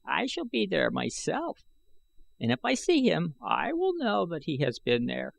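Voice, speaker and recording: A man's voice: fairly high pitch, wildly swooping pitch, a little slow, gravelly, voice pushed up, exaggerated voice. A man voicing a sarcastic, fearless old taxidermist in an adventure storybook. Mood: boastful, fearless. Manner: taunting, stubbornly fearless. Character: sarcastic, defiant old male taxidermist of an alien city.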